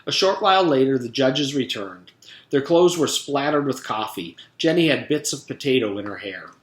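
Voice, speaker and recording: Expressive man reading a storybook, echoey room. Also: medium pitch, normal speed. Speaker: teacher reading aloud to pupils. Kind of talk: children's storybook narration.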